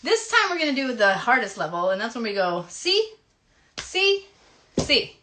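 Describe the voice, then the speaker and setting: High-pitched woman hosting kids, noisy recording. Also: sing-song, normal speed. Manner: instructive and encouraging, addressing children directly. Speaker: children's live show host. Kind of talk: interactive kids' play session, teaching and singing a hand game. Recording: noisy live stream with clicks.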